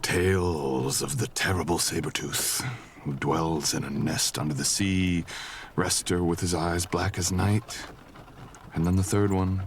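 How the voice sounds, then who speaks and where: A man playing a mysterious, courteous wandering traveler in a soft-spoken romantic fantasy audio roleplay, with old-fashioned, teasing phrasing. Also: low voice, a little hiss or hum. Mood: musing.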